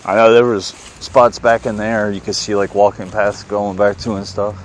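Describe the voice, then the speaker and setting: Deep low man, thirties, casual rambling vlog talk, handheld camera outdoors, clear background noise. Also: level tone, moderate pace. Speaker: outdoor vlogger. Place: outdoors in woods and fields. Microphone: handheld camera at arm's length.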